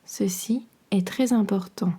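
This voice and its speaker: A woman guiding a relaxation meditation in a soothing, measured, instructional way, her soft voice turning breathy at the ends of phrases. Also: mid-range voice. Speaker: podcast host and yoga nidra guide.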